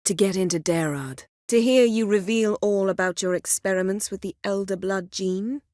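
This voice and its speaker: An actress playing a proud, sharp-tongued, guarded sorceress in a video game, speaking in a cool, clipped, dryly sardonic way. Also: female voice, medium pitch, normal speed, no room sound, studio quality, natural voice. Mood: cool, composed. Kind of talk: scripted game dialogue acting.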